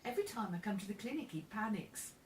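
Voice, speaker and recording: A woman's voice: mid-range voice, big pitch swings, fast talker, lightly acted. A woman voicing a plucky, kind thirteen-year-old farm boy with an earnest, informal manner in a storybook reading. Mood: earnest, cheerful. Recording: roomy, distant mic, faint background noise.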